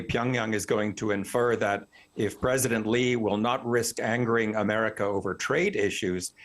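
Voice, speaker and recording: A man speaking as an academic expert in a news interview, explaining his opinions articulately and analytically. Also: on the low side. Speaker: professor, expert news guest.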